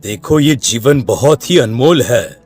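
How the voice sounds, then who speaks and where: A kindly old sage in a cartoon, calm and fatherly. He speaks slowly with a lilting, rising and falling voice. Faint music sits under him. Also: medium pitch. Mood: gentle. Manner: slow, soothing, fatherly. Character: kindly old male sage, gentle and wise. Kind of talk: Hindi cartoon dub.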